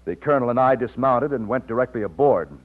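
An actor playing a loyal, modest young Texas plantation overseer, polite and earnest, in an old-time radio drama. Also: male voice, medium pitch, quick pace, close to an everyday voice. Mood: narrating. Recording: muffled vintage radio, music behind.

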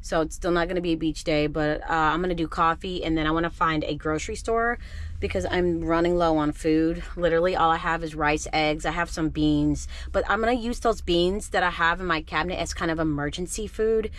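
A woman's voice: deep voice, fairly quick. A woman in her thirties chatting casually to a handheld camera from her van and around the city, self-assured and talkative. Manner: chatty, self-assured, calls herself "your girl". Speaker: van-life vlogger. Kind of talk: casual vlog chat to camera. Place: inside a parked van and outdoors in a city lot, also in a store. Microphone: handheld camera at arm's length.

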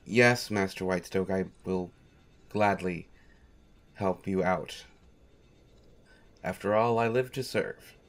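A man's voice: low-pitched, slow, pauses often. A man playing a devoted, patient manservant in an audio roleplay, polite and dryly wry, with hesitant phrasing. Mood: dryly amused. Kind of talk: scripted audio roleplay, speaking directly to listener.

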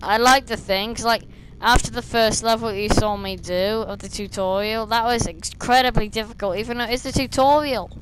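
A young woman, slightly hoarse and losing her voice, chatting and rambling as she plays a stealth game at her desk, talking to the enemies on screen. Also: on the high side, normal speed, hardly any pauses, background noise. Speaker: gameplay streamer. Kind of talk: casual gameplay commentary. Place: small room indoors, seated at a desk.

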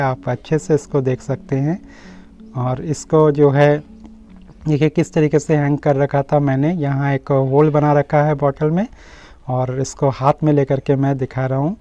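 Higher-pitched mellow man giving a garden tour. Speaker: host of a home gardening channel. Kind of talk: casual explanatory garden tour voice-over. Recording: slightly muffled audio with music underneath. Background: instrumental music.

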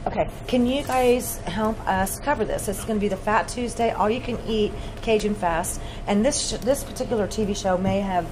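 A woman's voice: mid-range voice, normal speed, few pauses. Bubbly woman hosting a diner chat. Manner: bubbly, effusive, laughs often. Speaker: talk show host. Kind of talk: casual group chat. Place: diner, seated at a long table with guests. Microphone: table microphones. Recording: noisy diner room.

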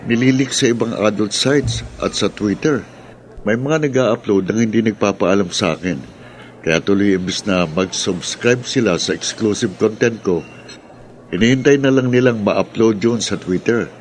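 A man's voice: lowish voice, normal speed, a little hiss or hum, natural voice. An actor with a Filipino accent playing a young man, a grumbling buddy who works as an online creator, in a radio drama. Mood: troubled. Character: aggrieved male buddy, a struggling online content creator.

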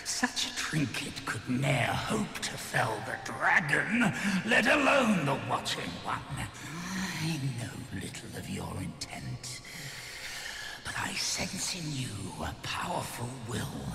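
An actor playing a solemn, cryptic old man in a fantasy game, with a gravelly voice and theatrical archaic speech. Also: a little high, bright, forceful tone, heavily acted. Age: older. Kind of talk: fantasy video game acting. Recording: roomy long reverb under music.

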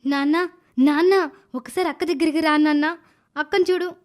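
Casting: woman as a scheming little stepsister for a cartoon moral tale, very high voice, whiny and dramatic. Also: steady tone, normal speed, close to an everyday voice. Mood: anxious, pleading. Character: spiteful young village girl, scheming stepsister. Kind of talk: animated moral story dialogue.

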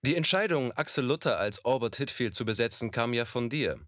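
A man's voice: mid-range voice, lively intonation, a little fast, fairly bright tone, dry recording, clean studio sound. A man asking short, prepared interview questions. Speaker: off-camera interviewer.

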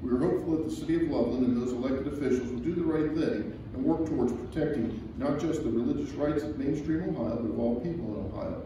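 A man's voice: mid-range voice, fast talker, hardly any pauses. An older American man formally reading aloud as a resident at a public meeting, standing at a podium. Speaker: resident speaking at a city council meeting. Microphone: distant camera microphone. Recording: echoey room, distant mic, background hiss.

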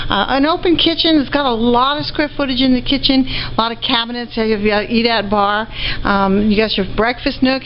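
A woman with a fairly high voice walks through a house, chatting upbeat and easy with plenty of ums. The sound is dull and lo-fi, caught by the camera's own mic, with faint noise underneath. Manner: chatty, upbeat, lots of fillers. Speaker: real estate auction previewer. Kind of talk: walking property tour commentary. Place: indoors and outdoors around a house. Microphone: handheld camera mic while walking.

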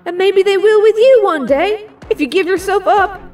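A woman's voice: very high-pitched, normal speed, a little mellow. A woman playing a righteous, defiant young superheroine in an audio drama. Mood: firm, accusing. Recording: slightly distant, faint music.

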